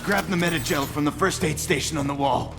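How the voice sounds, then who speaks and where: An actor playing a wounded, bitter male station technician with a gruff, suspicious manner in a video game. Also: fairly high pitch, a little fast, over music. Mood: grumbling.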